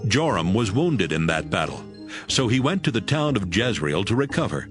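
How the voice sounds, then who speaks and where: A man's voice: fairly low pitch, very animated, normal speed. A man reading scripted narration for a dramatized audio Bible. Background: music.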